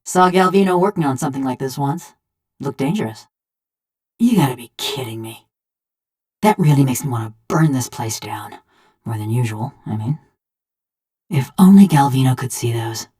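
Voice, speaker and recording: A woman's voice: rumbling low, lively intonation, normal speed, pauses often, dark tone, slightly rough, heavily acted. A woman voicing a wry, vengeful female machine of brass in a video game, with a folksy, drawling American accent. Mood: sardonic. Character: sardonic, vengeful female construct of brass, a killer. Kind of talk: video game character voice lines.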